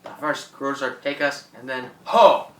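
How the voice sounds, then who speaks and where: An actor playing a boastful, menacing old pirate in a theatrical radio play. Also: male voice, mid-range voice, measured pace, fairly bright tone, natural voice. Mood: indignant. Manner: theatrical, gloating, cackling. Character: boastful old French pirate, greedy and menacing, newly revived. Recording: roomy, distant microphone.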